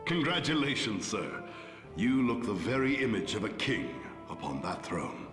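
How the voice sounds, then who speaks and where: An actor playing a smooth, deferential male ambassador in a game cutscene, speaking in an obsequious way. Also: on the low side, sing-song, moderate pace, music in the background. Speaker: game character. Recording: reverberant with game score behind.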